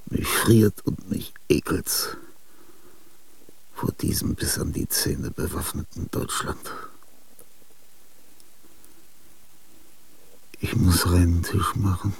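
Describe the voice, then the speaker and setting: A gravelly, bitter old composer. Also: male voice, low voice, fairly even intonation, slow, darkish tone, no room sound, heavily acted. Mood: weary, bitter. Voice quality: gravelly, husky. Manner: rambling fragments, murmured asides and sudden outbursts. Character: weary, bitter aging male composer, brooding. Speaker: actor in a radio play. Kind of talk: radio drama monologue. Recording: dry studio radio play.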